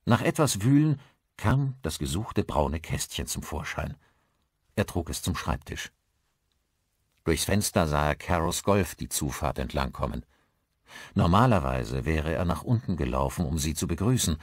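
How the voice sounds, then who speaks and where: Deep-voiced male audiobook narrator. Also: no echo, clean studio sound. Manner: measured, articulate storytelling. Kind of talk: scripted audiobook narration of a thriller.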